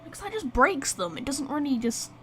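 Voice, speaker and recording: A woman streaming a video game with casual live reactions, talking in a rambling, excitable, blunt way. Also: high voice, brisk, slight background noise.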